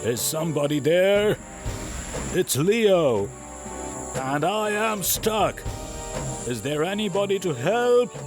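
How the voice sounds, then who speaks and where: Guy doing a proud blustery lion, slow pompous talk, kids cartoon story, music in back. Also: sits high, very animated, exaggerated voice. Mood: distressed. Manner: pompous, theatrical. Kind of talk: animated children's story acting. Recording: music behind the voice.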